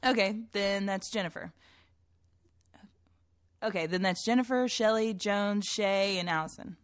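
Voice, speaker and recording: A woman's voice: mid-range voice, measured pace, no echo, natural voice. Pushy amateur playwright, female, acted, naturalistic drama delivery, mid-range voice, close dry recording. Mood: brisk, persuasive. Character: suspicious, pushy amateur playwright running auditions. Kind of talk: naturalistic scripted drama dialogue.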